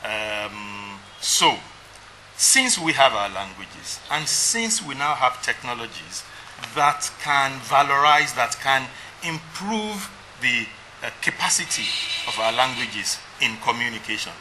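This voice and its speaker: A man interviewed in a TV studio, speaking in a measured, thoughtful way with ums. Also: mid-range voice, lively intonation, sharp tone. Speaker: guest, language technology CEO. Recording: noisy studio.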